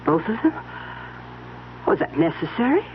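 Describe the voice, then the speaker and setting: A woman's voice: lowish voice, big pitch swings, normal speed, muffled recording. An actress playing a ruthless, calculating older mother, insinuating and theatrical in an old-time radio mystery. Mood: sly, calculating. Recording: thin, noisy old radio recording.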